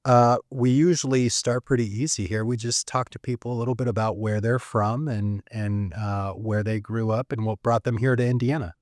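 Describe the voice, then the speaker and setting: A man's voice: medium pitch, fairly even intonation, normal speed, hardly any pauses, no room sound, studio quality. A man hosting an interview podcast, asking casual questions with frequent "uh"s. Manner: warm-up questions, frequent filler "uh". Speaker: podcast host.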